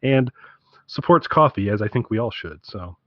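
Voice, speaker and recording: A man's voice: on the low side, animated, moderate pace, no room sound, lo-fi sound. A man co-hosting a podcast, chatting casually in a rambling, wry way. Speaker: podcast co-host.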